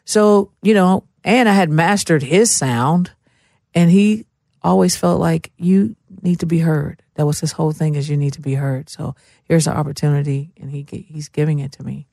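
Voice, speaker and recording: A woman's voice: low-pitched, moderate pace, gravelly, darkish tone, no echo, clean studio sound. A middle-aged woman from Memphis, telling stories casually and with emphasis as an interview guest. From Memphis, Tennessee, USA.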